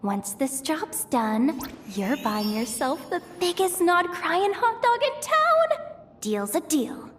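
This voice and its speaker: A woman's voice: very high-pitched, very animated, takes it slowly, in a large hall, a little hiss or hum, heavily acted. A woman voicing a cheeky, wry young adventurer in a video game, theatrical and sarcastic, muttering asides to herself. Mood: cheeky, wary. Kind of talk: video game cutscene voice acting.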